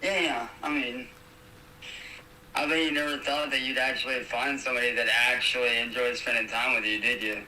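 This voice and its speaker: A man's voice: medium pitch, fairly even intonation, fairly quick, bright, forceful tone. A young man chatting casually and slangily on an echoey, distant, noisy phone recording. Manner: slangy, swearing. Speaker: caller.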